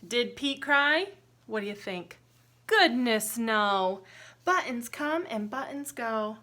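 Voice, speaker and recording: A woman reads a storybook to young children with a bright, fairly high voice. She takes her time, slipping between expressive narration and sing-song lines. Simple questions invite the little listeners to join in as a teacher would. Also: slow. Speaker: teacher reading a picture book aloud to young children. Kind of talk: interactive children's storybook read-aloud with counting and singing.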